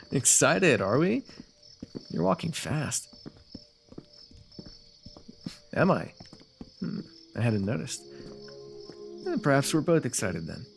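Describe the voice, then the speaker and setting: A man's voice: on the high side, sing-song, slow, creaky, long pauses, soft background music, heavily acted. A man playing a confident, teasing royal rival turned ally in a fantasy roleplay audio, speaking in formal, wordy, playfully goading phrases.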